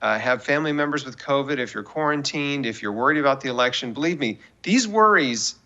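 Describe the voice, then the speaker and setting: A man in his forties with a bright, forceful voice of medium pitch. He talks like an earnest interview guest, emphatic and persuasive, offering encouragement rooted in faith. The audio is somewhat dull and lo-fi. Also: lively intonation, brisk. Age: 40s. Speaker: guest author and cancer survivor.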